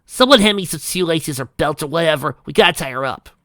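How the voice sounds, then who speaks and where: A brash, tough tomboy teen girl barks orders in a raised, forceful voice, with a man putting her on. The recording is dry and close. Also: fairly high pitch, very animated, a little fast, no room sound, voice pushed up, full character voice. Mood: commanding. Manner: shouting, forceful.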